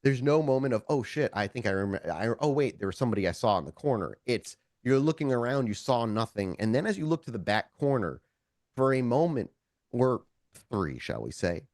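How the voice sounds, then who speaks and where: Animated man running a tabletop game. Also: medium pitch, a little fast, no room sound, clean studio sound. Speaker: game master. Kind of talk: narrating a tabletop roleplaying game, conversational.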